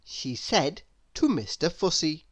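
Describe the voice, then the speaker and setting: A woman reading a children's story aloud with deliberate, expressive narration. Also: sits low. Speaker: storybook reader.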